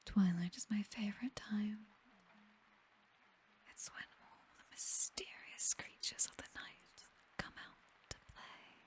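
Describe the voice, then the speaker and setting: A woman with a British accent playing a seductive, possessive vampire mistress in a whispered ASMR roleplay, coaxing and commanding in a soft, breathy voice. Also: mid-range voice, close to an everyday voice. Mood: adoring, wistful. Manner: slow, coaxing, hypnotic, teasingly commanding.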